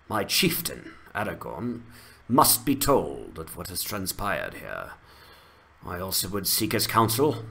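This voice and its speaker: A man voicing a dutiful male ranger captain, speaking gravely and formally in a fantasy game dramatization. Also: on the low side, pushed and bright, full character voice.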